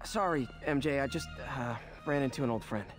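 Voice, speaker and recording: A man's voice: mid-range voice, brisk, over music, close to an everyday voice. An American man in young adulthood playing an earnest, wisecracking masked superhero in a video game, flustered and quippy. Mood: muttering. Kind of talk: video game cutscene acting.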